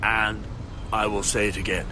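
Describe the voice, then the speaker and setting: A man's voice: fairly low pitch, flat delivery, moderate pace, lightly acted. A man playing a cynical, insinuating gossip in a radio comedy monologue. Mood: insinuating, amused. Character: nosy, cynical, muttering English gossip, male. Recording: faint background noise.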